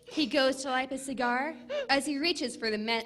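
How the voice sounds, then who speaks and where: A woman's voice: high-pitched, moderate pace, a bit bright. A woman reading scripted narration and adverts in the style of a 1940s radio show. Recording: clear background noise.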